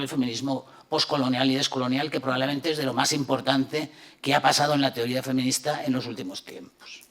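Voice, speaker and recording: Una mujer de voz muy grave habla como ponente en una mesa redonda académica. Defiende sus ideas de forma enfática y argumentativa, con la cadencia de un debate. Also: female voice, very deep voice. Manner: emphatic, argumentative. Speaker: panelist. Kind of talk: academic panel discussion. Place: university lecture hall.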